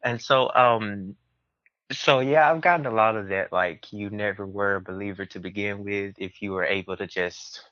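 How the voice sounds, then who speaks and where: Young male guest, bright lowish voice, casual storyteller, slightly dull lo-fi sound. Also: moderate pace, no room sound. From southern United States. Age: early 20s. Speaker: podcast guest.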